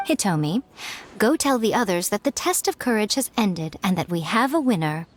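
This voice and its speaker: A woman's voice: medium pitch, sing-song, normal speed, slight background noise, lightly acted. A woman voicing a powerful fox yokai priestess in a video game, composed, elegant and teasing. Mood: amused. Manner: composed, sly, elegant, self-assured.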